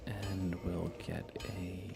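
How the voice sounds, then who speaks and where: A man doing relaxed live ASMR game commentary, chatting softly and unhurriedly with his viewers. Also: low-pitched, takes it slowly, gravelly, music in the background.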